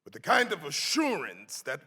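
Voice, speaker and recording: Man performing dignified period clergyman, deliberate declamation, forceful bright tone, echoing hall. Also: fairly high pitch, huge pitch swings, slightly rough, full character voice. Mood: grave, emphatic. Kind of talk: dramatic reading of a historical pamphlet. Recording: reverberant hall.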